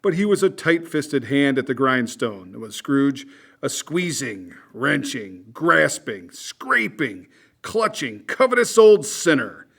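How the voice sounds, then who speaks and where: A man's voice: mid-range voice, sing-song, takes it slowly, creaky, fairly bright tone, breathy edge. A man narrating an old-time radio drama from a script, with dramatic storytelling delivery.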